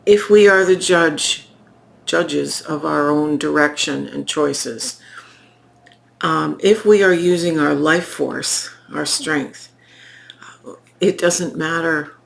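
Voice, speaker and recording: A woman giving a tarot reading in an unhurried, reflective way, her voice croaky from recent illness. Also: low-pitched. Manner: slow, reflective, pausing, with fillers. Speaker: tarot reader. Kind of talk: unscripted spoken tarot reading.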